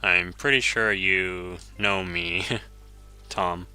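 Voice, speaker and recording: A man's voice: sits low, steady tone, drawn-out words, sharp tone, smooth, dry recording, soft background music. A man whose first language is Japanese, chatting easily while streaming a game, chuckling often and correcting himself as he answers viewers. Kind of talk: casual gameplay commentary, reading chat.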